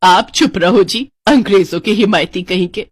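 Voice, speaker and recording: A woman voicing a house-proud, bossy middle-aged Indian mother in a cartoon story, dramatic and quick to scold. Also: mid-range voice, husky, no room sound, clean studio sound, lightly acted. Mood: outraged, scolding.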